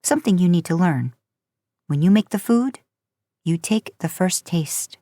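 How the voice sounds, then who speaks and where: A gentle motherly woman, acted by a female narrator in an audiobook. Her soft voice instructs patiently. It sits a bit low, in a very dry recording. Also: expressive, unhurried, dry recording. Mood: gentle, instructive.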